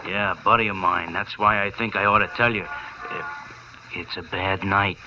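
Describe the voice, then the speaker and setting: An actor playing a sly, menacing thug with mock-polite threats in a 1950s radio drama. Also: male voice, low-pitched, fairly even intonation, moderate pace, natural voice. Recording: muffled, noisy vintage radio broadcast.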